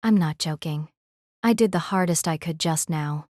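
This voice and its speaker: A woman playing a gentle, composed noble lady in an audio drama, speaking politely and reassuringly. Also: low-pitched, moderate pace, dry recording, clean studio sound, natural voice. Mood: apologetic, frank.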